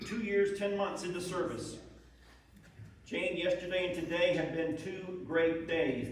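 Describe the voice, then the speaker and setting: A man's voice: high voice, a little hiss or hum. A man giving a formal, declamatory dramatic reading of a Civil War soldier's letters. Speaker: presenter reading historical letters aloud. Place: large room at a live presentation. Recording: very echoey room, far from the mic.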